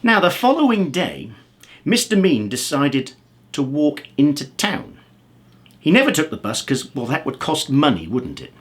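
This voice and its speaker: A man reading a children's story aloud as an expressive storyteller. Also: on the high side, unhurried. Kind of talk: animated children's story narration.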